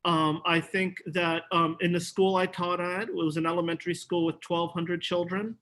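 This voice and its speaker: A man's voice: high voice, flat delivery, normal speed, fairly bright tone. A middle-aged man, a professor, speaking earnestly and conversationally as a panelist on a video call.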